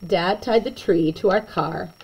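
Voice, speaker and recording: A woman reading a children's picture book aloud in an expressive way, with chatty asides to young listeners. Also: fairly low pitch, vocal fry, slight background noise, rough recording. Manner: animated, slow and deliberate storytelling, chatting to young listeners.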